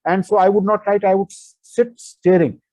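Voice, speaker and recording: A man's voice: sits high, fairly even intonation, normal speed, a little mellow, dry recording. A man whose first language is not English, an author answering interview questions with many fillers and restarts.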